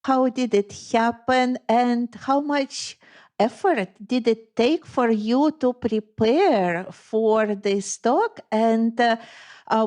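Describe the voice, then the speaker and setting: A woman with a Lithuanian accent hosting an interview, asking questions in a measured way with hesitant fillers. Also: on the high side, dark tone. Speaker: podcast host. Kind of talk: interviewing a guest.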